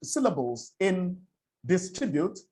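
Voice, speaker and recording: A Nigerian man whose first language is Igbo lectures on English pronunciation. His voice sits slightly high and swings widely in pitch. He talks at an unhurried pace, repeating words as he works through examples. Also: very animated, dark tone. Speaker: presentation coach. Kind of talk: spoken lecture on English diction.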